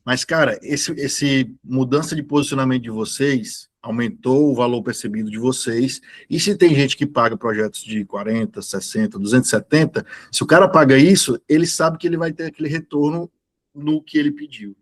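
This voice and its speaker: A man's voice: mid-range voice, normal speed. Man, host, casual video-call chat, thinks aloud, loose fillers.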